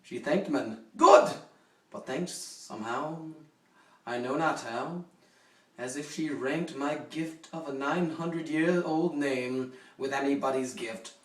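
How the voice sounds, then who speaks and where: A man playing a haughty, possessive, coldly jealous duke in a theatrical, deliberate dramatic poetry recitation. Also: on the high side. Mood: disdainful. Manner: theatrical, deliberate, swinging from hushed to forceful. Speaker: poetry reader. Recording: roomy echo, distant microphone.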